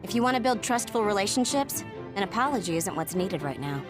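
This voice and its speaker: Female actor as analytical young woman, formal, composed, average pitch, game cutscene, background music. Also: expressive, brisk, lightly acted. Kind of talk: anime video game dub acting.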